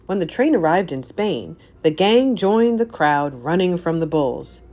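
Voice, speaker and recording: A woman narrating a children's storybook aloud in an animated, scripted read-aloud style. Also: lowish voice, unhurried.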